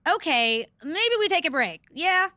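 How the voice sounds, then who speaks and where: A woman's voice: extremely high pitch, sing-song, measured pace, bright, forceful tone, dry recording, clean studio sound, heavily acted. A woman playing a wrestling show host in a scripted game cutscene. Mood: uneasy, placating. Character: female wrestling show host, prodding then peacekeeping.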